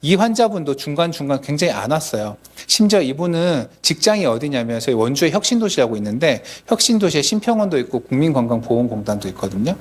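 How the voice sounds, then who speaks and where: A man's voice: a little high, very animated, fast, hardly any pauses, husky. A man, a dentist, lecturing colleagues at a symposium in a conversational, explanatory manner.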